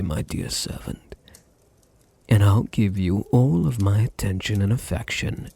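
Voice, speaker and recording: A British man voicing a possessive, seductive vampire noble in an intimate ASMR roleplay, teasing and soothing, often dropping into a breathy whisper. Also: fairly low pitch, dark tone, full character voice. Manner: slow, soothing, teasing, condescendingly tender. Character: dominant, possessive, seductive male vampire noble doting on his servant.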